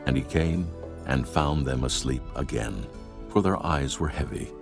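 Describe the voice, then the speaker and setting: Male scripture narrator, extremely low voice, solemn and formal, clear music bed. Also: measured pace. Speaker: audiobook narrator. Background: background music.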